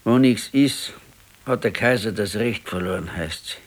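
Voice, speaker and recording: An actor playing an ailing, brooding old retired husband in a radio play, with a Bavarian accent and a resigned, halting, plain-spoken manner. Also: male voice, fairly low pitch. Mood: weary, resigned. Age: older. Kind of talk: naturalistic 1970s radio drama acting.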